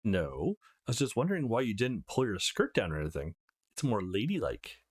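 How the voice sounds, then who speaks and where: A man voicing a mild, low-key teenage friend in a visual novel, curious, hesitant and easily flustered. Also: wildly swooping pitch, fairly quick, husky, heavily acted. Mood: awkward. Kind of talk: reading visual novel dialogue aloud.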